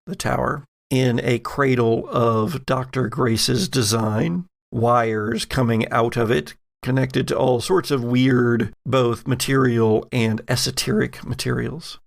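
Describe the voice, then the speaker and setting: A man's voice: medium pitch, steady tone, slow pace, creaky, hardly any pauses. A man acting as game master, narrating a tabletop roleplaying game with dramatic storytelling and casual asides. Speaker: game master of an actual-play podcast.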